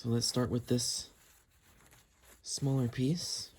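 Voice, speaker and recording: A man's voice: medium pitch, flat delivery, slow pace, breathy edge. A man giving a casual how-to narration, explaining each step as he works with his hands. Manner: explanatory, talking while working with hands. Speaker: host of a how-to cleaning video.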